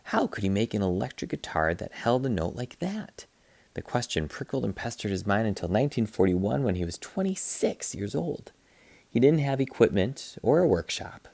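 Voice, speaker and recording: Male storyteller, low creaky voice, animated picture book read-aloud, playful delivery. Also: normal speed, dry recording. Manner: expressive, playful, acts out sound effects. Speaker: teacher reading aloud to students.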